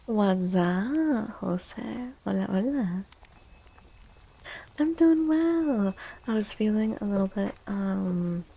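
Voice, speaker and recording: A woman livestream host chatting in a soft, gentle ASMR style close to the mic, drifting now and then toward a breathy whisper. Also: mid-range voice, lively intonation, slow. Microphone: close microphone.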